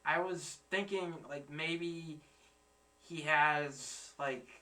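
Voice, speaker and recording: A man's voice: a little high, very slow, sharp tone, distant mic. A man in his twenties hosting a reaction video, chatting casually and searching for his words. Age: 20s. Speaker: reaction channel host. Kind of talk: casual chat.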